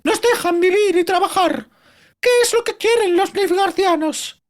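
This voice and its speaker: A man voicing a frightened, protesting woman in a fantasy audiobook, crying out and pleading. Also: a little slow. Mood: distressed. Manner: shrill, pleading cries.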